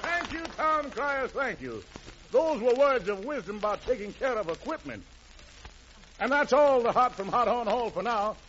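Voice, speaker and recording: A man's voice: very high-pitched, animated, normal speed, fairly bright tone. An American man hosting a 1940s radio variety show with wisecracking, jive-talking showman patter. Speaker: radio show host and emcee. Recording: dull, noisy 1940s radio recording with crowd.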